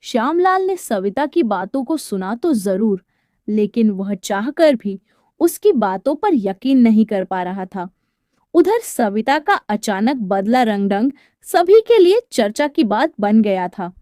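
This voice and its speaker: Woman narrating a scripted story, kinda high voice, no room echo. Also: big pitch swings, slow, warm tone, clean studio sound.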